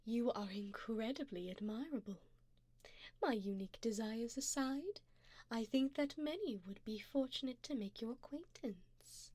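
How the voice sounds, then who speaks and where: A woman voicing a wealthy, possessive vampire sugar mommy in an ASMR roleplay, soft and breathy with whispers, refined, coaxing and gently commanding, close on a binaural mic. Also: fairly high pitch, a little slow, no echo. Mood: admiring, seductive. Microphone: binaural 3Dio microphone, close up.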